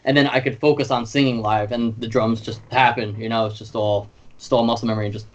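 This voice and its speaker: A man chatting informally as a musician being interviewed, animated and easygoing. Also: medium pitch, fast talker, dull audio. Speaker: band drummer and singer being interviewed. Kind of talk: casual interview chat.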